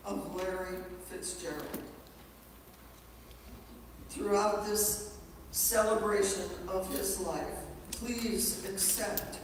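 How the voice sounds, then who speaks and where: Distant echoing recording, woman officiant, solemn memorial prayer, reverent, very unhurried. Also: medium pitch. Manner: measured, reverent phrasing. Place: large reverberant indoor church space. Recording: echoey hall, distant mic, clear background noise.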